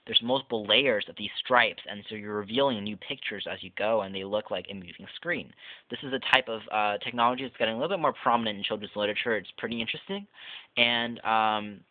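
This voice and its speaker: A man's voice: medium pitch, no room sound. A man hosting a casual review of a children's picture book. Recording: tinny phone-like lo-fi audio.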